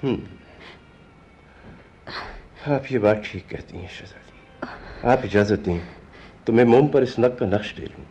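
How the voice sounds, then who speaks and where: An actor playing an earnest, devoted astrologer in a radio drama, formal and deferential, with theatrical Urdu diction. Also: male voice, lowish voice, moderate pace, a little mellow, muffled recording, close to an everyday voice. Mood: earnest, reassuring. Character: courteous, earnest astrologer and devoted foster-brother of princess. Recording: noisy radio play recording.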